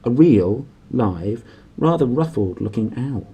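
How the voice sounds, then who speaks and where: A man narrates a storybook with lots of expression. His voice is mellow and husky, mid-pitched, and moves up and down in a lively way. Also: takes it slowly. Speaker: audiobook reader.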